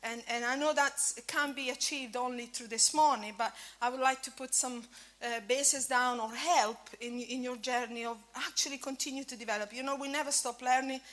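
A woman's voice: high-pitched, normal speed, few pauses, fairly bright tone. A woman in her fifties, a native Italian speaker with an Italian accent touched by Scottish, giving an informal sermon at a church breakfast with many fillers and restarts. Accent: Italian with a bit of Scottish. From Italy. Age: 50s.

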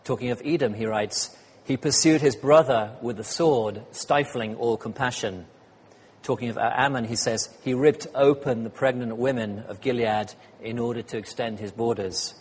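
A slightly hoarse man gives a sermon as guest speaker, chatty and self-deprecating, with frequent ums and uhs. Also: medium pitch, moderate pace. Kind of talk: sermon with light humor. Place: church hall. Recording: church hall reverb.

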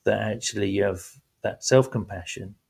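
A man hosting a relaxed faith-based chat, talking reflectively with gentle emphasis. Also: on the low side, normal speed, gravelly, slightly rough.

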